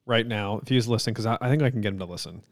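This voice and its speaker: A man's voice: lowish voice, rapid-fire, dry recording, clean studio sound. Teasing, easygoing male podcast host. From Cincinnati area, USA. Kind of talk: casual chat.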